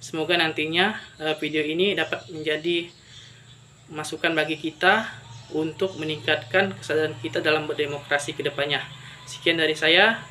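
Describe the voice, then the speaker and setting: Young man, video host, flat intonation, hesitant delivery, faint noise behind. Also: fairly high pitch, moderate pace. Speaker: interviewer and host. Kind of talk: informal presentation to camera, summing up.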